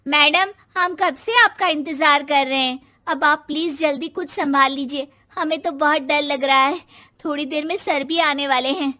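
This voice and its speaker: A woman's voice: sits high, fairly even intonation, moderate pace, pushed and bright. A woman playing a young, anxious and loyal office assistant in a moral story.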